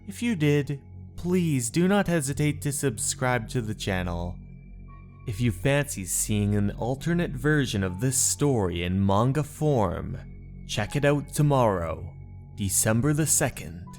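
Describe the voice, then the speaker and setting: A man's voice: mid-range voice, darkish tone. A man hosting a narration channel, speaking a scripted outro to his viewers.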